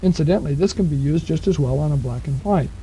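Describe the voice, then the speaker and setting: A man with a very mellow, dark voice pitched a little high narrates instructions step by step. He is plain-spoken and patient as he explains. The sound is that of a muffled 1970s cassette tape with faint hiss. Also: fast. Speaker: instructor narrating a program manual.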